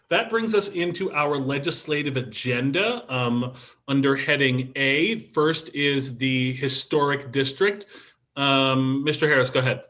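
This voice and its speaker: A man chairs a council session over a video call. His voice is bright and forward, with a middle pitch. He speaks hesitantly, filling pauses with ums and repeating himself. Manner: hesitant, with frequent ums and restarts. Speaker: council president chairing a meeting. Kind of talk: procedural meeting chairing.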